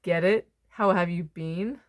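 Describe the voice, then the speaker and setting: A woman reading a children's picture book aloud, expressively, with dramatic pauses and emphasis. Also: on the low side. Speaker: storybook reader.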